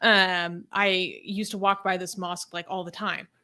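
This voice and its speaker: A woman's voice: on the low side, flat delivery, a little slow, bright, forceful tone. A young woman talking through a news story casually, hesitant with many ums and likes, on a video call from home. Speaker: co-host.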